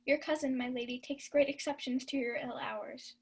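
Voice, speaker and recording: A sharp-witted maid in a radio Shakespeare play, played by a woman. Her voice is high, and she scolds in period English with a no-nonsense manner. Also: fairly even intonation, moderate pace, breathy edge. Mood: scolding. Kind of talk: student Shakespeare radio acting.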